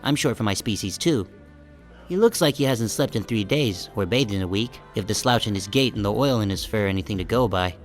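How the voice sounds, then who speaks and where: A man playing a short, self-conscious sheep who narrates an audiobook in a wry, deadpan, casual first-person style. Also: on the low side, a little fast, soft background music, close to an everyday voice. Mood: wry, musing.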